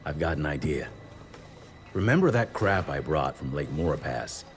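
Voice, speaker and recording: Brief: steady folksy veteran, low and grave, over film music. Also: male voice, moderate pace. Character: gruff seasoned male hunter veteran. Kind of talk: game cutscene acting. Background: music score.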